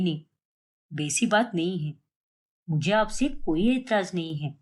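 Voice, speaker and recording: A woman's voice: mid-range voice, a little mellow, voice pushed down. A woman voicing an anxious young bridegroom in a cartoon family story, earnest and plaintive. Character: anxious young bridegroom, proud but obedient to father.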